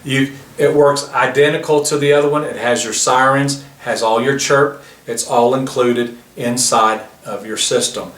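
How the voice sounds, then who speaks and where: A man's voice: medium pitch, fairly even intonation, unhurried, hardly any pauses, fairly bright tone. A man demonstrating a vehicle intercom system, explaining each feature step by step in a plain way, recorded outdoors beside a car. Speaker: product demonstrator. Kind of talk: product demonstration. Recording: roomy, distant mic with faint noise.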